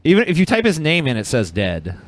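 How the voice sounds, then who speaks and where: A man's voice: a little high, wildly swooping pitch, fairly quick. A man co-hosting a podcast, chatting casually in a matter-of-fact way. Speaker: podcast co-host.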